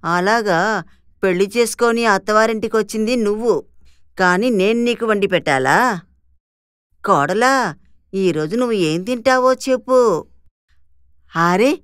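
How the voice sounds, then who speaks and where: A woman's voice: mid-range voice, measured pace, pauses often, clear, resonant tone, no echo, clean studio sound, close to an everyday voice. A woman voicing a bossy, middle-aged mother-in-law in a cartoon moral story. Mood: indignant. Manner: reproachful.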